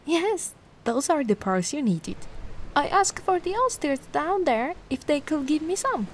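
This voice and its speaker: Woman acting as giant mermaid, female, high-pitched, very animated intonation, cutesy, doting, cooing, ASMR roleplay. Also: a little slow, a little hiss or hum. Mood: sweet, caring. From Italy. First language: Italian. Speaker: roleplay performer.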